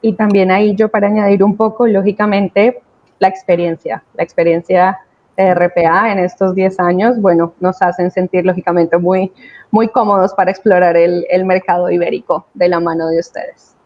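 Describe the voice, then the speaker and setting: A woman's voice: fairly low pitch, flat delivery, moderate pace. A woman giving a conversational company presentation, explaining things with emphasis. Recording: dull lo-fi video-call audio.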